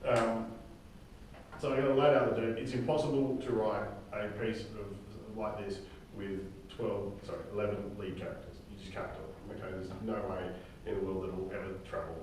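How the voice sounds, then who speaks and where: A man informally briefing a group of actors as their director, halting as he goes and often checking in with "okay?". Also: lowish voice, little pitch movement, crowd noise. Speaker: director and writer leading a drama table read. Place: reverberant indoor room, recorded from far off. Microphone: distant room microphone. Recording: very echoey room, distant mic, faint noise.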